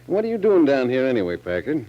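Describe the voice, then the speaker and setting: An actor playing a genial, plainspoken older sheriff with an American accent in a 1940s radio drama. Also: male voice, mid-range voice, wildly swooping pitch, fast talker. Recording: dull 1940s radio recording.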